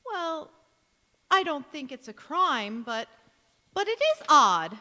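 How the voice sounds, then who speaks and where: Radio play, large hall: actress as bitter, tart woman, high-pitched and cutting. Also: very animated, takes it slowly, sharp tone, heavily acted. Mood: needling. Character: sour, bitter, disapproving older woman cousin. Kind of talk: radio play acting on stage. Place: large hall, live stage performance. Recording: echoey hall with long reverb.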